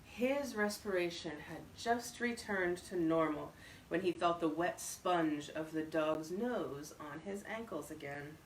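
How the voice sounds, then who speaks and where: A woman reading a short story aloud as an expressive, scripted narrator. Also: lowish voice, a little slow. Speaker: story reader. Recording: roomy echo, distant microphone.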